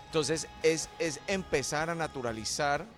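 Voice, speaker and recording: A man's voice: fairly high pitch, measured pace, fairly bright tone, faint music behind. A middle-aged man from Colombia with a Colombian accent, a guest in a radio interview, explaining his ideas with sayings and metaphors. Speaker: entrepreneur guest.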